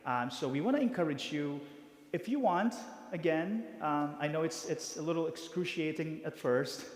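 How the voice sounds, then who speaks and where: A priest briefing parish volunteers, talking haltingly with many fillers and restarts. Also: male voice, fairly high pitch. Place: church hall. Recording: reverberant church hall.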